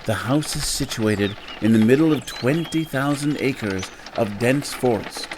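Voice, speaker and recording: Man playing a trail guide, horror audio drama, grave, measured storytelling, mid-pitched male voice, faint background noise. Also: expressive, close to an everyday voice. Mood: matter-of-fact. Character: male guide telling grim local history to vacationers.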